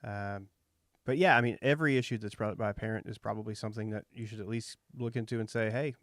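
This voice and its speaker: A man in his thirties from Texas with a light Texas accent, hosting a relaxed, folksy interview and thinking out loud with fillers. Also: fairly low pitch, fast, no echo, studio quality. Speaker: podcast host. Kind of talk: casual interview chat.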